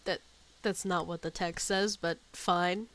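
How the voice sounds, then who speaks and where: A woman's voice: lowish voice, steady tone, measured pace, a bit bright, a little hiss or hum. A woman streamer giving casual, offhand commentary as she plays a game and reads out dialogue choices. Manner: informal, offhand. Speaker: streamer playing and reacting to a game. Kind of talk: casual gameplay commentary, reading dialogue options.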